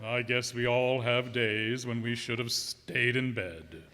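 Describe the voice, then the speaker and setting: A man's voice: mid-range voice, fairly even intonation, slow pace, pushed and bright, big-room sound. A man playing a pompous, easily exasperated boss in a radio comedy, formal and dry, given to sputtering. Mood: dry disdain. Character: Mister Scott, fussy, exasperated, pompous male boss. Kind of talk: old-time radio comedy acting before an audience.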